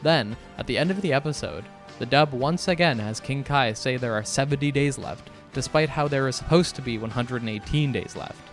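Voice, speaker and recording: The wry male narrator of an online fan video essay, picking things apart at a medium pitch over a music bed. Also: brisk, few pauses. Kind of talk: scripted narration. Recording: music behind the voice. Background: background music.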